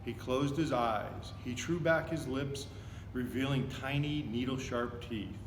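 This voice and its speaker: A man reads a children's book aloud with expression. His voice sits at a middle pitch. The recording rings with long reverb and has clear noise behind it. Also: a little slow. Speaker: volunteer story reader. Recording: echoey, reverberant room with noticeable noise.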